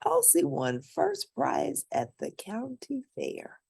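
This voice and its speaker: A woman's voice: high voice, gravelly, breathy edge. A woman reading a children's picture book aloud expressively, as a storyteller for young listeners who points out the pictures. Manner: animated, pauses to point out pictures.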